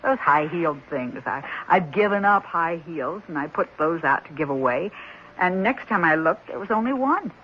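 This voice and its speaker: An actress playing an anxious, practical wife, expressive and fussing, in a 1970s radio drama. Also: female voice, lowish voice, normal speed. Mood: surprised, fussing. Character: anxious, practical wife, adult woman, exclamatory. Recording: muffled 1970s radio broadcast, faint noise.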